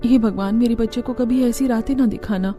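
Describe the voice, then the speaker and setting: A woman's voice: on the high side, steady tone, fairly quick, dark tone, natural voice. A woman voicing a caring young village mother and daughter-in-law in a cartoon story. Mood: tender, prayerful.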